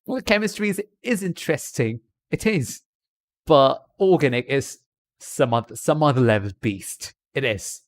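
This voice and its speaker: A man's voice: mid-range voice, lively intonation, moderate pace, no room sound. A man doing a put-on British accent, chatting on a live stream from India and giving rambling, jokey tips.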